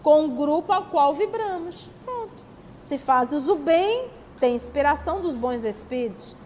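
Brazilian woman, spiritist lecturer, high voice, teacherly and rhetorical, echoing hall. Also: animated, a little slow, a little mellow. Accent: Brazilian Portuguese. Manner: teacherly, rhetorical questions answered herself. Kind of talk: religious study talk, reading and commenting on texts. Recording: long hall reverb, faint background noise.

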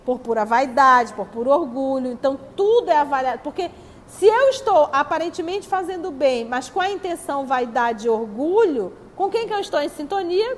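Brazilian woman, spiritist lecturer, high voice, teacherly and rhetorical, echoing hall. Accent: Brazilian Portuguese. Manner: teacherly, rhetorical questions answered herself. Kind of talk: religious study talk, reading and commenting on texts. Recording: long hall reverb, faint background noise.

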